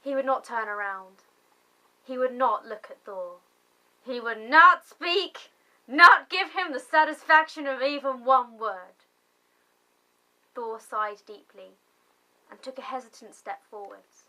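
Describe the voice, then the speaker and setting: A woman narrating a story in a theatrical, deliberate manner, swelling on key phrases for emphasis. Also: high voice, bright, forceful tone, poor audio. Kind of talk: dramatic story reading.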